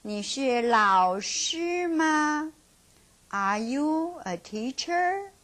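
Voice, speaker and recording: A middle-aged woman with a Mandarin Chinese accent talks through a language lesson at a slow, careful pace. Her slightly high voice swings widely in pitch. She repeats each word and then answers her own questions. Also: animated, fairly bright tone. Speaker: Mandarin teacher. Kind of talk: slow teaching instruction with drills.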